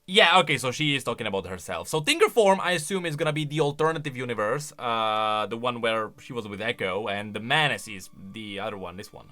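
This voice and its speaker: Animated, bright-voiced man reacting. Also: medium pitch, brisk, few pauses. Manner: animated, exclamatory, thinking aloud. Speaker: video creator and commentator. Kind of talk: casual reaction commentary.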